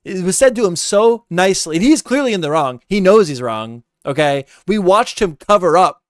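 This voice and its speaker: A man rants through a reaction stream, exasperated and repeating his points. His voice is fairly high, smooth and clear. The sound is dry and close, with no room echo. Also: lively intonation, moderate pace. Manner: ranting, exasperated, repeats himself. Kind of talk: heated casual commentary.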